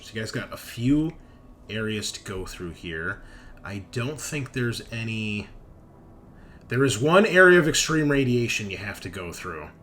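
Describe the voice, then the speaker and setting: Bright-voiced man running a tabletop game. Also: lowish voice, slight background noise. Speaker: game master. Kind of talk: casual tabletop game narration.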